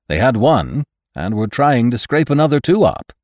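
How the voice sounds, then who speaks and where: A man's voice: medium pitch, sing-song, normal speed, darkish tone, no room sound, clean studio sound, voice pushed up, natural voice. Man acting a pompous, exuberant elderly doctor, wildly swooping. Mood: brisk, hearty. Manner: emphatic, oratorical, lecturing. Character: pompous, exuberant elderly male doctor preaching utopian order.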